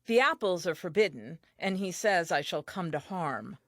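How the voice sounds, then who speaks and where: A woman's voice: lowish voice, lively intonation, moderate pace, no room sound, clean studio sound. An actress playing an earnest, naive and tender first woman, telling her diary in an audio play with wide-eyed expression. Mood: wistfully happy.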